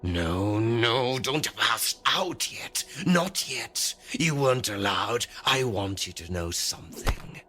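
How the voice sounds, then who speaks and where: Man acting as a sinister, raspy, forceful stalker. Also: mid-range voice, animated, slow pace, hardly any pauses, heavily acted. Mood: menacing, gloating. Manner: threatening, taunting. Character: sinister, menacing male stalker, cold and controlling captor. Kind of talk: audio thriller drama acting. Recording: music under the voice.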